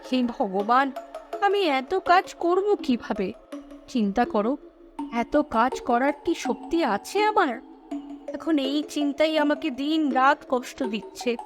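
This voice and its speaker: A clever, fretful village wife from a cartoon, played by a woman, pleads her case emphatically. Her voice is high and swings widely in pitch, with music underneath. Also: expressive, slow. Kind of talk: cartoon story dialogue.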